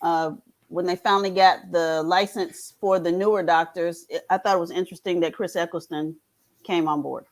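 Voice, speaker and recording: A woman's voice: lowish voice, fairly even intonation, moderate pace. A woman chatting casually as a fan on a discussion panel.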